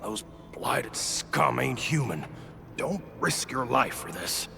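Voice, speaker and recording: An actor playing a weary, anxious male refugee settler in a video game, speaking earnestly and haltingly in rough, folksy phrases. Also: mid-range voice, lively intonation, heavily acted. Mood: grave warning. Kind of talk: dramatic video game voice acting. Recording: long hall reverb, music behind. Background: game music.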